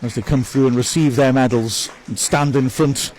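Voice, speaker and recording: A man's voice: mid-range voice, fairly even intonation, moderate pace, darkish tone, a faint crowd, slight background noise, poor audio. Male club commentator, conversational and upbeat, running through players and reading viewer messages. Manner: chatty, upbeat, praises players one by one.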